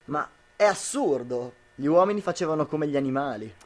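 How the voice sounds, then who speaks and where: A man's voice: on the high side, expressive, a little fast, a little mellow, close to an everyday voice. A young man playing a troubled, disbelieving husband in an amateur radio drama. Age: young adult.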